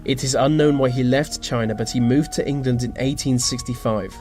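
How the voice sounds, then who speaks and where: A man reading scripted narration for a list video, slipping into dry, deadpan asides. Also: medium pitch, a little fast. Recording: music behind the voice. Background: background music.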